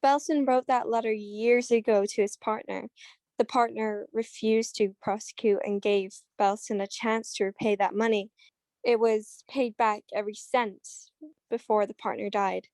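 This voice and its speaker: A woman's voice: a little high, fairly even intonation, normal speed, darkish tone, dry recording, lightly acted. Woman acting a cool dame, close dry recording. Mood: cool, knowing. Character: cool, dry-witted female mystery woman. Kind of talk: 1940s radio mystery acting.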